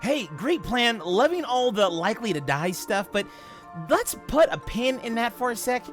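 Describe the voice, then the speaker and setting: A man's voice: very high-pitched, big pitch swings, normal speed, a bit bright, exaggerated voice. A man playing a young, quippy and nervous male superhero in a comic reading, flippant and jokey. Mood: upbeat, joking. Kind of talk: comic book voice acting. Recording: background music under the voice.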